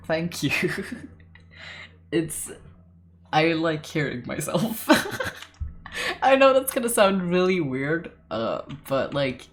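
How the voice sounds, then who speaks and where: A young man in his late teens, with a deep voice, talks loosely to his stream while he draws. He rambles through strings of fillers, breaks into laughs, and drops casual swears. His pitch sits in a middle range for a man. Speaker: artist streamer. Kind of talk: casual livestream chat while drawing.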